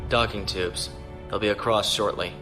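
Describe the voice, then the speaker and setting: Male actor as sci-fi audio drama captain: steady, courteous authority, bright forceful tone, light score underneath. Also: on the low side, normal speed. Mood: composed, instructing. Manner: crisp, authoritative, composed. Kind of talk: science fiction audio drama acting. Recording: faint music behind.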